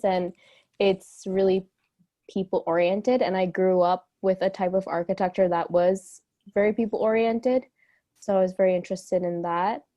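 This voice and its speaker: A young woman from New York, a guest on a casual interview. Her voice sits at an everyday middle pitch, and she answers thoughtfully, with small laughs now and then. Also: dark tone. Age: young adult.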